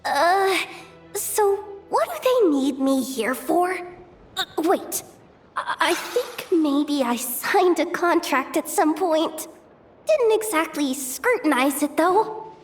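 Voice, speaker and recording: Casting: nervous, self-pitying young woman in a game, very high voice, unhurried stumbling delivery. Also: lively intonation, faint music behind, heavily acted. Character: young woman, flustered, whiny reluctant intelligence messenger. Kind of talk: video game voice acting.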